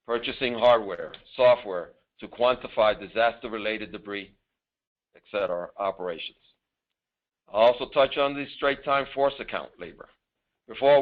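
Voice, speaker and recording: Man presenting over a tinny phone line. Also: mid-range voice. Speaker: government disaster assistance official presenting. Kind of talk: formal scripted presentation with some improvised asides. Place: office, on a conference call. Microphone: telephone.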